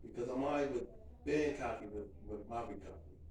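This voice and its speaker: A man's voice: mid-range voice, a little fast. A man in his fifties sharing earnestly and plainly in a recovery group, repeating himself for emphasis. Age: 50s. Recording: echoey room, far from the mic, noisy.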